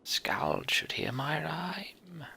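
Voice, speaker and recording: A man voicing an eccentric, touchy backwoods marsh man in a fantasy game, muttering cryptically in halting phrases. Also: on the high side, wildly swooping pitch, gravelly, pushed and bright, slight background noise, pitched above the performer's own voice, full character voice. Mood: cryptic, evasive.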